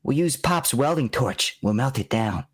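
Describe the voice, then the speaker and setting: A man's voice: medium pitch, lively intonation, normal speed, lightly acted. A man playing a bossy, street-smart boy in a vintage-style radio drama, brash and slangy. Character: bossy, scheming street-smart boy caught by his father.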